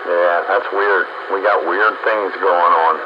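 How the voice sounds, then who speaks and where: A man in casual radio chat with other operators, halting and repeating his phrases. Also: low voice, level tone. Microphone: radio transmission. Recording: muffled two-way radio with clear static.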